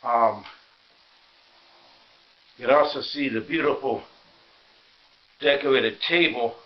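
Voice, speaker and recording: Man, medium pitch, tired, rambling and halting, casual vlog host, dull lo-fi indoor recording. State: tired and sore. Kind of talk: casual home vlog narration. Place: inside a house, walking through rooms.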